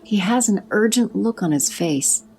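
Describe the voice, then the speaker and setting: A woman narrating an audio drama in an even, descriptive way. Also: mid-range voice, a little slow, a little hiss or hum.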